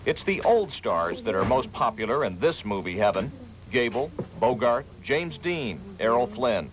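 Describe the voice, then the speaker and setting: American TV reporter with lively, swooping delivery. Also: male voice, medium pitch, a little slow. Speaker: TV news reporter. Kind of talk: scripted feature narration. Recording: faint background noise, 1980s TV broadcast.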